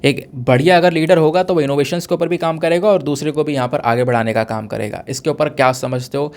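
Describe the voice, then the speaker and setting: A man's voice: fairly high pitch, brisk, vocal fry. A man from India with a sore throat, lecturing conversationally on current affairs as an exam-prep teacher, explaining through questions and mixing in English terms. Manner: explanatory, poses questions and answers them, code-mixes English terms.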